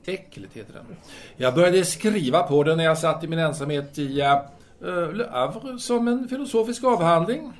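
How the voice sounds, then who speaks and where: Man acting courteous male intellectual, self-absorbed, halting, musing, very lively pitch swings, audio drama. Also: lightly acted.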